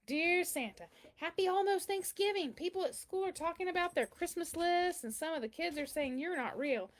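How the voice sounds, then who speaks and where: A woman's voice: very high-pitched, moderate pace, voice pushed up. A woman voicing a curious, earnest seven-year-old girl reading out her letters in a children's storybook, eager and full of questions. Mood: earnest, questioning. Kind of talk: children's storybook read-aloud.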